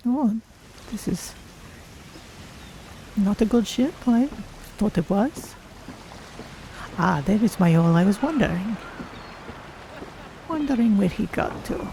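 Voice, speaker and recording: Woman voicing a mellow, polite cat-folk traveler. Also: mid-range voice, animated, slow pace, creaky, pauses often. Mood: amused, curious. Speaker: streamer role-playing a game character. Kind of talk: in-character commentary on a video game. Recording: faint game ambience noise.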